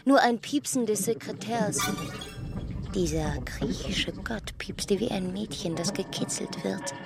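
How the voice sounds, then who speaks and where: A woman narrating a literary radio drama deliberately, pausing between short phrases. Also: medium pitch, creaky. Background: prominent music.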